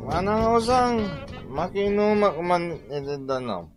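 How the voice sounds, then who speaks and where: A male actor playing a leering, coaxing village man with drawn-out, chuckling delivery and an Ilocano accent in a radio comedy drama. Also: sits high, lively intonation, over loud music. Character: leering, flattering older-brother village man.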